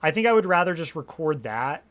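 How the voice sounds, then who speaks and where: A young man chatting casually on a live stream, rambling and restarting his sentences, swearing freely, and a little hoarse after hours of talking. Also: on the high side, very animated, fairly quick, fairly bright tone. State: slightly hoarse from long streaming.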